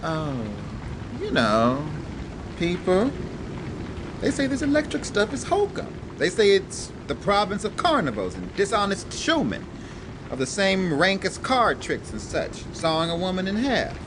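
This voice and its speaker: An actor playing an earnest young adult son, deferential and declamatory, in a comic radio theatre style. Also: male voice, high-pitched, wildly swooping pitch, a little slow, background noise, full character voice. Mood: earnest, naive. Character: earnest, eager, adoring grown son.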